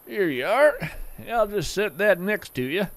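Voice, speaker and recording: A man playing a genial, whimsical naturalist who hosts a fiction podcast, narrating in a gentle, earnest, literary manner. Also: high voice, sing-song, slight background noise, heavily acted. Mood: friendly, hospitable. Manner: measured, gentle, earnest delivery with florid wording. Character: genial, whimsical male naturalist hosting strange creatures by campfire.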